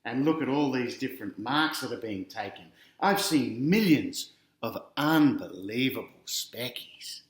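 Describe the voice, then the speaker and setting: A man's voice: mid-range voice, fairly bright tone, reverberant. An Australian man reading a children's picture book aloud, adding chatty asides and talking directly to young listeners.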